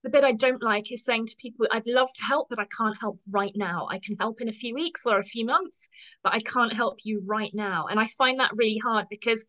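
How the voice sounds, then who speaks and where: A woman's voice: a little high, fairly quick, sharp tone, highs cut off. An English woman in her fifties with an English accent, chatting casually as an interview guest, friendly and self-deprecating with frequent ums. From England. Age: 50s. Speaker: guest, professional photo organizer.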